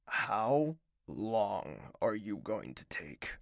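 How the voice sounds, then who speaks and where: A man playing a brooding, guarded young prince with a curt, measured delivery in an audio drama. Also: on the low side, very animated, creaky, dry recording, studio quality, full character voice. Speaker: narrator and lead.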